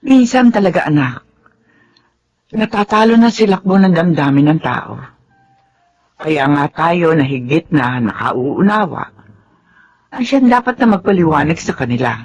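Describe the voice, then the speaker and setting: A woman playing a patient, understanding, wise mother in a radio drama, with a husky voice. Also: deep voice, animated, measured pace, pauses often, darkish tone. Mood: gentle counsel. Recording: dull lo-fi sound with music.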